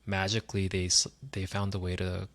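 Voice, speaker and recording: A man from Korea with a low voice co-hosts a casual podcast. He explains things in a relaxed way. He murmurs agreement often while the other host talks. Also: brisk.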